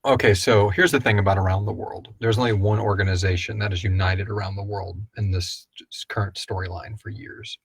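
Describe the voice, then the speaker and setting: A man's voice: fairly low pitch, steady tone, a little fast, few pauses, slightly rough, no room sound. A man hosting a casual question-and-answer chat, rambling and thinking aloud as he speculates. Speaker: host of a live audio drama Q&A.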